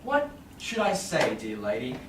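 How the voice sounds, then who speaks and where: A man plays a young nobleman begging his wife's pardon in Shakespearean verse. He pleads slowly and earnestly with animated pitch, far from the mic on an echoey stage. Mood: earnest pleading. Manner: earnest, imploring. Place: small indoor theatre stage. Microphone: far audience camera. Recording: roomy, noisy distant audience camera.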